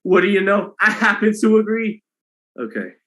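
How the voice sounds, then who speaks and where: A man hosting a boxing livestream in a loose, rambling way, talking to his chat with a flat, monotone delivery. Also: high-pitched, moderate pace. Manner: monotone delivery, self-described; loose and rambling, many restarts. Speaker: boxing commentator and livestream host. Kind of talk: casual livestream chat, reading donations.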